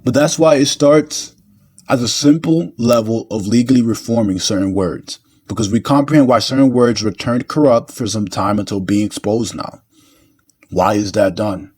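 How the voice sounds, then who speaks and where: A man's voice: mid-range voice, a little mellow. A man delivering an impassioned opinion monologue as an online commentator, preachy and emphatic, repeating himself for weight.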